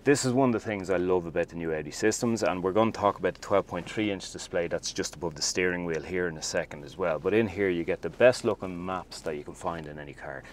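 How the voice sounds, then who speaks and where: A man's voice: low voice, quick pace, few pauses, slight background noise. A man demonstrating a car's infotainment system from inside a parked car, chatty and enthusiastic as he talks to viewers. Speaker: car reviewer. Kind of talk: casual video walkthrough.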